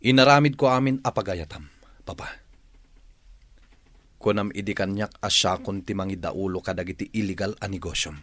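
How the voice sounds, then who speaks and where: A man's voice: low voice, normal speed, pauses often, fairly bright tone, no room sound. A man acting in a radio drama as a resentful, ambitious son of a crime boss, pleading and argumentative. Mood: defensive.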